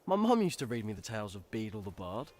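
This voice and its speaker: A man's voice: lowish voice, very animated, fast, a little mellow. A man playing a blunt, matey, doubtful teenage boy wizard with an English accent in a video game. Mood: puzzled.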